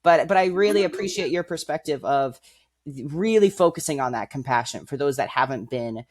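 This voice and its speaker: A man's voice: a little high, animated, normal speed, darkish tone. Thoughtful man musing in conversation. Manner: thoughtful, uses fillers, builds ideas through analogy. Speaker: podcast co-host. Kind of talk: reflective casual conversation.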